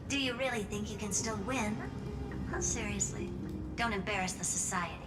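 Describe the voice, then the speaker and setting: An actress playing a scornful, sharp-tongued woman in a game, delivering pointed rebukes. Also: medium pitch, normal speed, natural voice. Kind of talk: theatrical game dialogue. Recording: distant voice with music behind.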